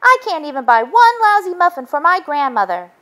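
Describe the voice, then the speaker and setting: Lady doing a huffy storybook girl, high voice, complaining and fed up. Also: sing-song, fairly quick, a bit bright. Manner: exasperated, complaining.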